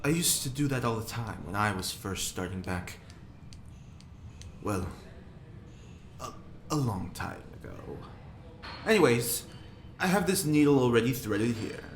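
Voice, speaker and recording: Man acting a fussy, courteous knitter, lively pitch. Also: a little slow, pauses often, reverberant, a little hiss or hum, lightly acted. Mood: kindly, encouraging. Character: genteel, encouraging male prisoner leading a knitting circle. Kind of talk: audio drama acting.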